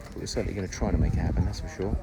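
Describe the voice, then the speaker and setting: A man's voice: low-pitched, very fast, vocal fry. A man giving a casual, step-by-step walk-through of his house build outdoors, talking with plenty of ums. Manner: chatty, explains step by step with many ums. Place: outdoor construction site. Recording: loud outdoor site noise.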